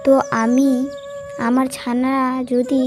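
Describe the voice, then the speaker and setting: Woman voicing a high-pitched, pleading cartoon mother bird. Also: monotone, slow, background noise. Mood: worried. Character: poor, anxious mother bird, loving but weary. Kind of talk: cartoon character dialogue.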